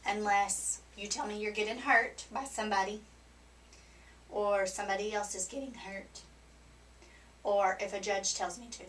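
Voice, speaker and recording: A woman counselor with a scratchy, hoarse voice, explaining things gently and patiently to a client in a counseling room. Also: medium pitch, fairly even intonation, normal speed, long pauses. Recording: distant mic with faint background noise.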